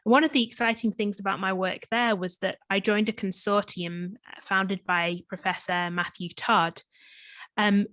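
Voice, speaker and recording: A woman's voice: medium pitch, no echo. A woman from Northwest England, her accent touched by an Australian twang, giving a friendly online talk with many ums and restarts. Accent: Northwest English, between Manchester and Liverpool, slight Australian twang. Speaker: chemist and guest presenter. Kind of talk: online presentation. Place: home in lockdown, video call.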